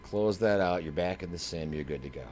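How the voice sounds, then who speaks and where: Deep-voiced man doing casual game commentary. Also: a little fast, a little mellow. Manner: casual, slangy, emphatic. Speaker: flight simulator streamer. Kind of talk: live game demo commentary. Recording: clear background noise.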